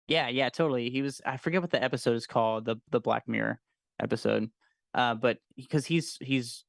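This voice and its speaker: A man's voice: medium pitch, a little fast, creaky, dry recording, clean studio sound. A young man co-hosting a livestream, chatting casually and enthusiastically about films with informal slang and some swearing. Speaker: livestream co-host.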